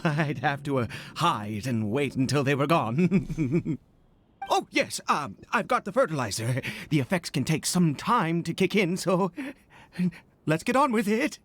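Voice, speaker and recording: An actor playing a wary, weary male researcher in a fantasy video game, polite and halting. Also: fairly high pitch, a little hiss or hum. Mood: relieved, awkward. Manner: halting, hesitant, polite, chuckling. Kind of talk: video game character acting. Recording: studio voice over game music.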